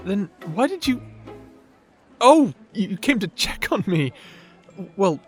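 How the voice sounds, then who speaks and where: A man's voice: sits high, very animated, slow, faint music behind, heavily acted. A man playing a shy, nerdy young wizard in a fantasy audio roleplay, awkward, apologetic and polite, stammering through his words. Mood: flustered, apologetic.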